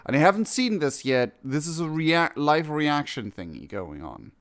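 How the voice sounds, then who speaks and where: A man's voice: on the high side, sing-song, moderate pace, a bit bright. A man giving casual live reaction commentary on a game, rambling and often rewording himself. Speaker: streamer reacting to game cutscenes.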